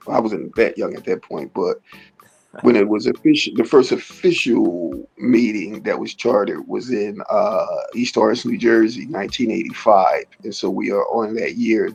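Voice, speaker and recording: A middle-aged man with a raspy voice, speaking as an interview guest in a halting way with many fillers and restarts. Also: on the low side, darkish tone, low-quality recording. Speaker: martial arts teacher, interview guest. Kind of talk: casual conversational interview.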